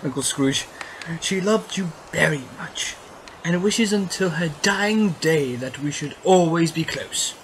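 An actor playing a loving, good-natured young husband and nephew in an audio drama. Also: male voice, fairly high pitch, measured pace, fairly bright tone, a little hiss or hum, rough recording. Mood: delighted.

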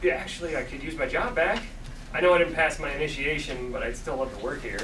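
A young man in a comedy table read playing an excitable, naive coffee shop worker in his early twenties. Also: on the high side, quick pace, over music, close to an everyday voice. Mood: earnest. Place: small theatre stage, seated before an audience. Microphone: far camera. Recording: echoey, noisy, distant stage recording.

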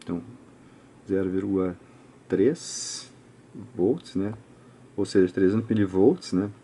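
A man with a Brazilian accent giving an informal hands-on technical tutorial, speaking haltingly with frequent short check-in tags. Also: sits low, mellow, slight background noise. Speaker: electronics repair channel host. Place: indoor home electronics workbench.

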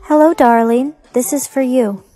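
A woman's voice: sits high, fairly even intonation, normal speed, dark tone. A woman playing an imperious queen in a scripted skit, speaking in a commanding manner. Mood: earnest, commanding. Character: imperious queen who hoards words, ruthless yet generous.

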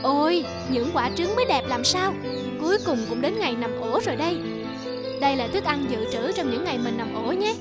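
A woman voicing a caring, stubborn cartoon mother hen who talks with expressive, pleading tenderness. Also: high-pitched, a little fast. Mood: tender. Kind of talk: Vietnamese cartoon dubbing. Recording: music behind the voice. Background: music.

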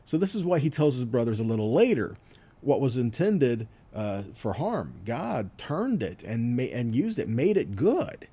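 Guy doing a casual bible teaching, soft mellow tone, pitch moves around a lot, asks questions as he goes. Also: sing-song, measured pace, husky. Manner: conversational, checks in with rhetorical questions and "right?". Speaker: Bible teacher giving a weekly scripture teaching. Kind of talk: informal teaching sermon with scripture readings.

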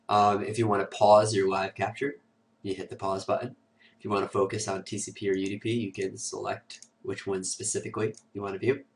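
A man with a cold talks through a software demo in a low, flat, raspy voice, hoarse and sniffly. His delivery is casual and loose, padded with "uh" and "um". He sits a short way from the mic. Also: recorded from a distance. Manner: casual, filler-heavy walkthrough with many "uh" and "um". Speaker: host of a security tutorial show. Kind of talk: screen-recorded software tutorial.

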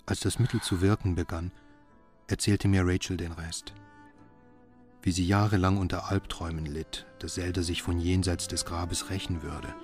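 A man acting a brooding husband and father who tells his story in a radio drama, grave and measured. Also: low voice, flat delivery, soft background music. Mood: grave, foreboding. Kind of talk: radio drama narration and dialogue.

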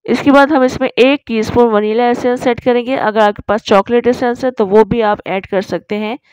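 A woman's voice: a little high, fairly even intonation, moderate pace, darkish tone, clean tone, no echo, low-quality recording. A woman narrating a recipe tutorial as a voice-over, explaining each step in an instructive way. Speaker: cooking channel host.

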